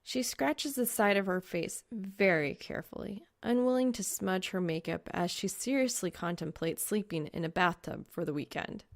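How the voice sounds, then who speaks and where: Creaky-voiced woman narrating a story. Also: medium pitch, normal speed, hardly any pauses, no room sound, clean studio sound. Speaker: audiobook reader of fan fiction.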